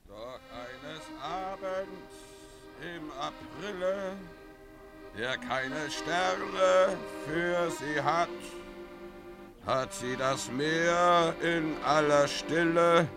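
A man playing a drunken, boastful, greedy pirate sea captain in a radio play, theatrical and mocking, in a gravelly voice. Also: a little high, drawn-out words, music in the background. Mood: singing, wistful. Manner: theatrical, mocking, swinging from mutters to bellows. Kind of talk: 1950s German radio play acting.

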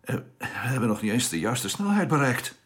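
An actor playing a brisk, impatient spaceship crewman in a science fiction radio drama. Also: medium pitch, sing-song, a bit bright. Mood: tense.

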